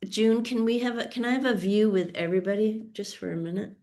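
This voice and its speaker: A woman's voice: medium pitch, fast, darkish tone. A woman hosting an online sew-along, chatting casually on a group video call.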